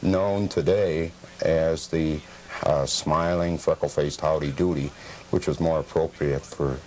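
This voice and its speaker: An American man with a low voice answers interview questions as a guest collector. He is factual and slightly formal, explaining things in a measured way. The sound is that of an old, slightly noisy television tape. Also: steady tone, a little mellow. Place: television studio.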